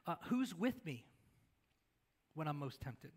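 A middle-aged pastor with a fairly high voice preaches on a church stage. He talks conversationally, tossing questions to the congregation and gesturing as he moves. His voice comes through a headset mic. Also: very animated, takes it slowly, slightly rough. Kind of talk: sermon.

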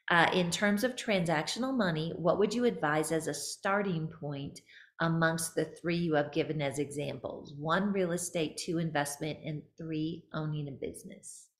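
Low-voiced woman host in an echoey room. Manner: upbeat, reads viewer questions. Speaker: host moderating questions. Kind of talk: casual faith-based discussion. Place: bedroom indoors.

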